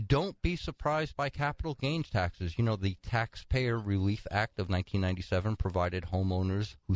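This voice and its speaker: A man's voice: lowish voice, a little mellow, no room sound. A man co-hosting a financial talk show, moving between prepared article summaries and conversational comments. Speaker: co-host presenting financial newsletter articles.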